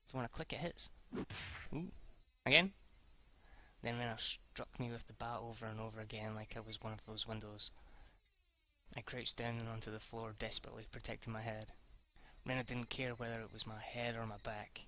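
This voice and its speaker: A man's voice: medium pitch, fairly even intonation, brisk, bright, forceful tone, slight background noise, rough recording. A man reading a visual novel aloud as an amateur let's player, in narration that can stumble and restart. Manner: stumbles over words and rereads lines; casually foul-mouthed when reacting. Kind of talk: amateur read-aloud narration with off-the-cuff reactions.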